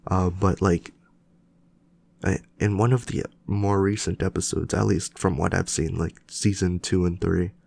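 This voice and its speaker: A man's voice: deep voice, steady tone, a little slow, gravelly, a little mellow, husky. A young man with a cold and a nasal, stuffed-up voice, rambling casually as he hosts his solo podcast with many fillers and restarts. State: sick with a cold. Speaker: podcast host.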